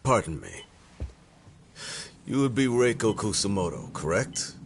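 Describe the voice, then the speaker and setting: An actor playing a middle-aged government security official in a game cutscene, polite and formal with quiet insinuation. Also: male voice, fairly low pitch, big pitch swings, unhurried, creaky, a little hiss or hum, heavily acted. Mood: smooth politeness. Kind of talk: video game cutscene acting. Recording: dramatic music behind the voice. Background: music.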